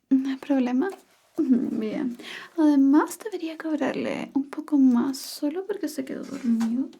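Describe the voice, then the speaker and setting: Woman, soft teasing whisper, breathy, mellow tone, ASMR tutor. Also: high-pitched. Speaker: ASMR roleplay Spanish tutor.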